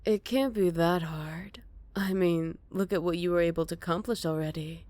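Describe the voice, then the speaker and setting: A woman playing a smug, flirty captured villain in an ASMR roleplay, teasing and coy with breathy murmurs. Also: lowish voice, normal speed, a little mellow, dry recording, natural voice. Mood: playful, coaxing.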